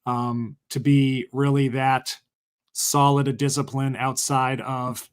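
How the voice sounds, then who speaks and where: A man with a middle-pitched voice that sounds raspy and worn out from heavy use. He talks thoughtfully in a casual guest conversation. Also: level tone, takes it slowly. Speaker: guest, film festival organizer.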